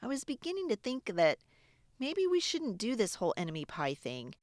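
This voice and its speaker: Female children's storybook narrator, animated delivery, dramatic pauses, very dry sound. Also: a little high, no echo. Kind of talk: expressive read-aloud narration for children.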